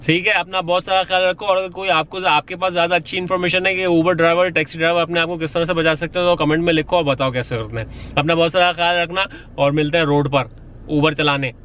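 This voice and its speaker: A man in his thirties talks straight to a phone inside a parked car, giving earnest advice to fellow drivers. His voice is bright and sits a little high, and he pleads and repeats himself to make a point, slipping English phrases in as he goes. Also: steady tone, fast. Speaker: vlogger and rideshare driver. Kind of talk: casual advice vlog. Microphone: phone or camera at arm's length.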